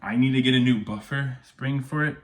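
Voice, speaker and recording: A man's voice: medium pitch, a little fast. A man vlogging casually from a messy home office room with no external microphone, rambling and checking in with "okay?" after many points. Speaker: firearms YouTuber. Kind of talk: casual vlog talk to camera. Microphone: no external mic, camera audio.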